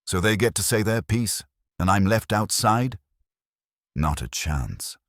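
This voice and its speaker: A man voicing a gruff suspect with barely contained fury in an audiobook, blunt and barking. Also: lowish voice, lively intonation, unhurried, no echo, studio quality, pitched above the performer's own voice. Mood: angry, defiant. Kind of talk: audiobook character dialogue.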